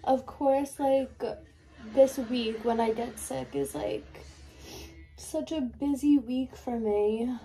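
A woman's voice: high voice, steady tone, slow pace, warm tone, rough recording. A young woman, stuffy and sniffly from a cold, chatting casually while doing her makeup at home. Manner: chatty, candid, filler-heavy. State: congested and sniffly. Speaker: lash tech and beauty vlogger. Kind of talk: casual get-ready-with-me chat. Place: bedroom, indoors. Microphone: camera in front, close.

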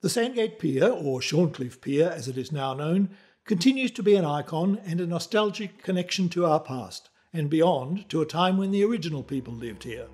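A man's voice: fairly high pitch, lively intonation, moderate pace, a little mellow. A man reading scripted historical narration for a documentary.

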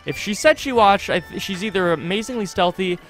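Male playthrough host, casual and joking, mock-exasperated, lively high-ish voice, close mic. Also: fairly quick, faint music behind. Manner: wry, exaggerated exasperation, joking. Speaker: gaming video host. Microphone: close USB desktop microphone.

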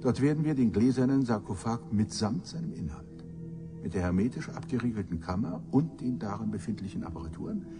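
An actor playing a cynical, bitter old professor in a science fiction radio drama, pompous and sardonic in elaborate phrasing. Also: male voice, lowish voice, lively intonation, brisk, music in the background, lightly acted. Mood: smug, scheming.

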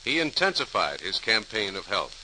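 A man's voice: lowish voice, sing-song, pushed and bright. A man with an American accent reading scripted narration as a 1940s radio announcer, formal and dramatic. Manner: formal, dramatic, measured phrasing. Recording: hissy, crackly 1940s radio recording.

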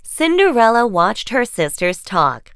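A woman's voice: a little high, very animated, a little slow, a bit bright, smooth, no echo, studio quality. A woman narrating a children's fairy tale as a scripted storyteller. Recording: music under the voice.

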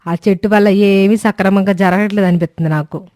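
A woman's voice: mid-range voice, fairly even intonation, fairly quick, a little mellow, no room sound, clean studio sound, lightly acted. A woman voicing an irritable, bossy middle-aged village mother-in-law in a cartoon story, scolding and sarcastic. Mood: irritated. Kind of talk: cartoon moral-story voice acting.

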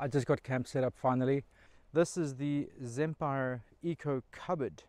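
Excited man camping in a forest. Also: medium pitch, normal speed, slight background noise. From Australia. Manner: enthusiastic, chatty, talks straight to camera. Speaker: camping vlogger. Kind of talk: casual solo camping vlog. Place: outdoors in a pine forest campsite. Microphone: camera mic, handheld selfie and tripod framing.

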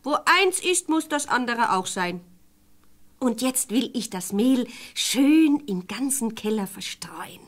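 An actress playing a naive, well-meaning and scatterbrained young peasant wife in a fairy-tale radio play, chatting artlessly. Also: female voice, fairly high pitch, expressive, slow pace. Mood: self-satisfied scheming. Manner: artless, chatty, talking to herself.

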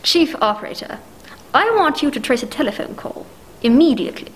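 A woman's voice: sits high, lively intonation, brisk. A woman playing a nervous, indignant, imperious housewife who stammers insistently, in 1940s radio play style. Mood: urgent. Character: nervous, demanding, indignant wealthy housewife alone at night. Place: dark stage, masked, speaking into prop telephone.

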